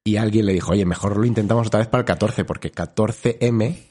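A man's voice: fairly low pitch, quick pace, warm tone, slightly rough. A man with a Spain Spanish accent co-hosting a casual podcast, telling a rambling, jokey story and laughing often. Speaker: podcast co-host. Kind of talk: casual chat.

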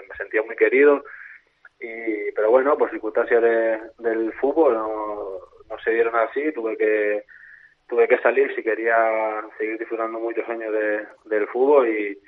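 A man with a softened Canarian Spanish accent, a footballer speaking in a phone interview, hesitant and repeating words with many fillers. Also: lowish voice. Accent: Canarian Spanish, a little softened. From Canary Islands. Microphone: telephone. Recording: tinny phone line.

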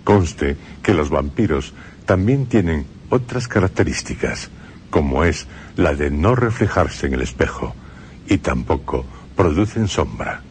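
Male narrator, Spanish accent, radio studio; deep voice, slow and measured, ominous tone. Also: lively intonation, a little mellow, slight background noise. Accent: Spain Spanish.

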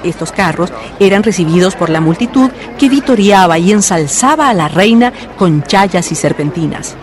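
A woman narrating a historical radio drama in a measured storytelling manner. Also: fairly low pitch, lively intonation. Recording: radio narration over music. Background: background music.

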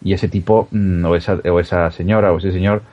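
Deep-voiced hesitant man, lo-fi. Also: steady tone, brisk. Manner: hesitant, restarts with many fillers. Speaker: regular commentator. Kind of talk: casual political talk.

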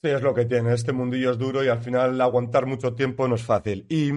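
A man's voice: mid-range voice, fairly even intonation, brisk, a little mellow. Spanish man asking winding questions. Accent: Spain Spanish. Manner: asks long winding questions. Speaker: interviewer host. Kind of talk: casual interview chat.

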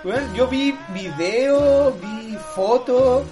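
Young Chilean woman, blunt sweary co-host, clear music bed behind. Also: a little high, drawn-out words. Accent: Chilean Spanish. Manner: blunt, crude, swears a lot. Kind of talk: casual chat.

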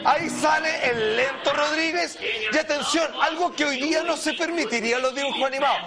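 High-pitched Chilean man, emphatic commentator. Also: brisk, loud music behind the voice. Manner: emphatic, slangy, addresses listeners directly. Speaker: talk show commentator. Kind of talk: animated commentary, informal.

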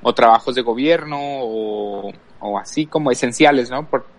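Male podcast guest, medium pitch, unscripted personal storytelling, hesitant delivery. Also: animated, normal speed, creaky. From El Paso, Texas, USA. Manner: hesitant, thinking aloud. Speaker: podcast guest, worship leader.

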